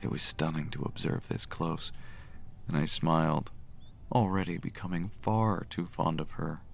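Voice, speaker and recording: A man playing a gentle, smitten healer cleric in a romantic audio drama, murmuring softly and tenderly. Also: a little slow, full character voice. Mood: hushed, fond. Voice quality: deep, gravelly, soft murmur. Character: gentle, smitten male cleric with healing magic. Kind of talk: romantic audio drama, first-person narration and dialogue. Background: music.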